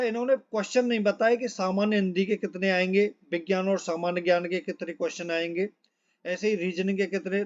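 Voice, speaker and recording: A man's voice: high-pitched, highs cut off. A man giving an informal instructional walkthrough as an exam coach, reading out lists and repeating points with frequent check-ins.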